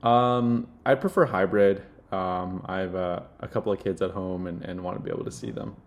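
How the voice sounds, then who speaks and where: A young man speaking casually and haltingly with many filled pauses, as in a product demo. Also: sits low, steady tone. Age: young adult. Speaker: software demo presenter playing a job candidate.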